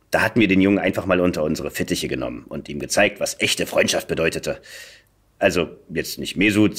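A man with a slightly low voice reads a comic story aloud. His pitch moves around a lot, giving the narration an animated shape, while the delivery stays dry and wry. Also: breathy edge. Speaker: podcast guest, voice actor. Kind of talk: expressive reading aloud of a satirical first-person story.

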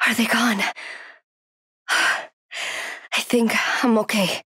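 An actress playing a frightened, devout young woman hiding from killers in a horror video game, urgent, breathy and pleading. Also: on the high side, fairly even intonation, drawn-out words, pushed and bright, no room sound, clean studio sound, full character voice. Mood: hushed, fearful.

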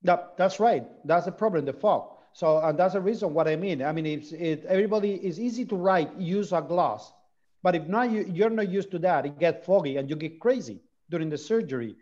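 A man's voice: sits high, brisk, a little mellow. Man moderating a video call panel. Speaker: webinar moderator. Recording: dull lo-fi video call audio.

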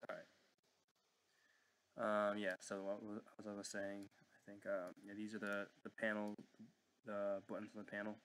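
A young man giving a casual, unscripted software walkthrough at his desk at home, hesitant and apologetic in manner. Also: lowish voice, monotone, normal speed, pauses often, low-quality recording. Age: young adult. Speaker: learner presenting a tutorial. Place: indoor room at home.

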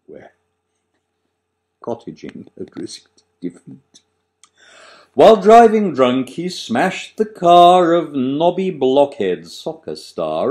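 A man in his sixties, an author reciting his own satirical rhyming verse with dramatic, deliberate emphasis and sudden swells and drops. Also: fairly low pitch, mellow. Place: small living room, on a sofa, indoors.

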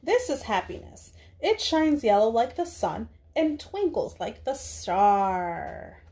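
A young woman, a preschool teacher, speaking to small children in a deliberate read-aloud style with drawn-out words. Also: a little high, very animated, mellow. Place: small indoor room.

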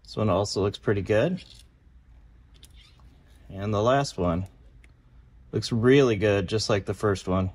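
Calm, methodical man in a workshop. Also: fairly low pitch, unhurried, pauses often. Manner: calm, methodical, matter-of-fact. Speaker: car restoration video host. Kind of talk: explanatory workshop walkthrough. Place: large garage workshop, indoors.

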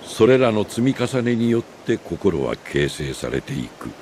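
An older man acting a solemn, remorseful old sage in a Japanese video game cutscene, speaking gravely and with deliberate pauses. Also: on the low side, very animated, darkish tone, slight background noise. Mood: solemn, earnest. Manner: grave, measured, philosophical delivery with deliberate pauses.

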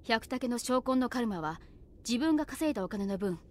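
A woman's voice: fairly high pitch, expressive, moderate pace, darkish tone, close to an everyday voice. A woman playing a stern young female leader, formal and commanding, in an anime audio drama. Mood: serious.

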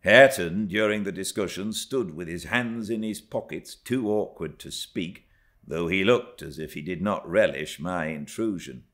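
A middle-aged British man reading a classic novel aloud as an expressive narrator. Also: low-pitched, normal speed. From Britain. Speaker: audiobook narrator. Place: armchair by a fireplace in a living room.

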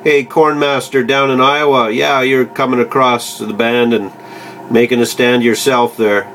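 A man's voice: medium pitch, level tone, moderate pace, fairly bright tone, a little hiss or hum, low-quality recording. A man from Canada chatting casually as a CB radio operator, folksy, with call signs and stock radio phrases. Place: indoors beside a CB radio set.

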